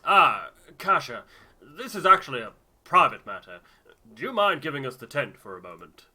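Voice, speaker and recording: A man's voice: medium pitch, very animated, normal speed, pushed and bright, full character voice. A man voicing a hearty, stubborn, middle-aged navigator in a game, blustering and emphatic.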